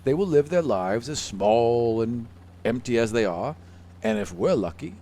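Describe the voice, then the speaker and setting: A man playing a haughty, contemptuous demigod in a measured, condescending manner for a tabletop game. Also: mid-range voice, expressive, mellow, slight background noise.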